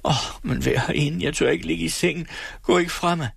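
A man's voice: fairly high pitch, no echo, clean studio sound, voice pushed up. A man putting on a terrified, pleading woman. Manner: hoarse whispering, desperate pleading. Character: frightened woman patient, panicking, pleading.

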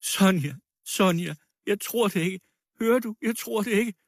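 A man voicing a frantic, anguished sick widow in a storybook reading, hoarse and tearful. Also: high voice, animated, dry recording, clean studio sound, pitched above the performer's own voice. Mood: anguished, protective. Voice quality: hoarse, plaintive. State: crying.

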